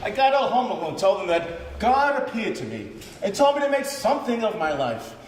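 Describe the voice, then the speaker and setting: Actor as a cocky, high-swooping prison inmate. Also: male voice, very animated, moderate pace. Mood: exultant boasting. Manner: cocky, exuberant, teasing. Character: swaggering male prison inmate, streetwise, boastful, flirtatious charmer. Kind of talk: live stage play acting. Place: stage of a theater, live performance. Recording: echoey theater, faint noise.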